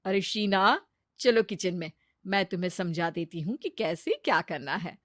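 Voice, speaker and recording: Woman playing a gentle, protective mother-in-law. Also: lowish voice, moderate pace, no room sound, clean studio sound. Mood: caring, instructing. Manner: gentle, reassuring, reasoning. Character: kind, protective middle-aged mother-in-law. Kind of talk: acted family story.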